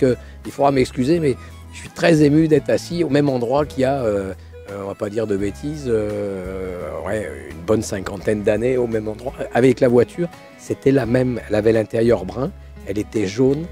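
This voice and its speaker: Hoarse older man hosting a car show, warm low tone, chatty and halting. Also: soft background music. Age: 60s. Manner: hesitant, many fillers and restarts. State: hoarse from laryngitis. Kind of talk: casual storytelling to camera.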